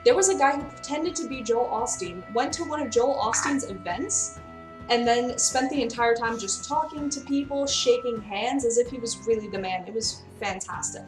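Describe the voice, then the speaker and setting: A woman's voice: a little high, normal speed, distant mic. Chatty young woman streaming from a kitchen. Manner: chatty, reads out and answers chat. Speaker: streamer cooking live. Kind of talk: casual live-stream chat. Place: kitchen in a friend's house. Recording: roomy, off-mic, with faint music. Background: faint music.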